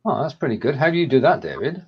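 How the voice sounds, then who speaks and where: A man's voice: mid-range voice, sing-song, fast, lo-fi sound, a little hiss or hum. A man with a Lancashire accent co-hosting a casual live-stream chat, playful and jokey, stumbling over his restarts. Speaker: co-host.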